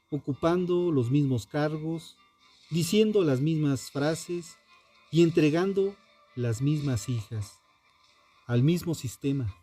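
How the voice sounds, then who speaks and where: A man narrating a scripted true crime story with dramatic, deliberate phrasing and pointed pauses. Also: a little high, warm tone. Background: music under the voice.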